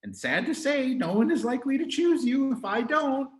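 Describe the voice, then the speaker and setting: A man's voice: extremely high pitch, sing-song, normal speed, echoey room, far from the mic, pitched above the performer's own voice. A man voicing a smug, condescending male schoolmate who gloats and taunts.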